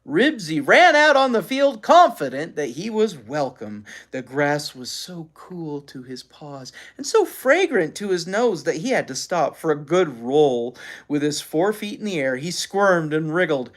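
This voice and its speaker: Animated storyteller with very lively pitch. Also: unhurried. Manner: animated, acts out characters' lines. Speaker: storyteller reading aloud. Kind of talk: expressive children's book read-aloud.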